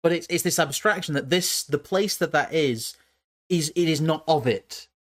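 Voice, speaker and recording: A man's voice: on the high side, moderate pace, fairly bright tone. Rambling musing male streamer. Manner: musing, stumbles and repeats words. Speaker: streamer host. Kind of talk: casual livestream talk.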